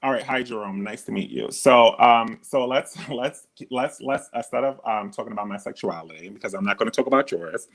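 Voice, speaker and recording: A man's voice: mid-range voice, brisk, few pauses, fairly bright tone. A man with a feminine-sounding voice hosting a heated live debate, often cutting in and repeating himself to take the floor. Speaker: host.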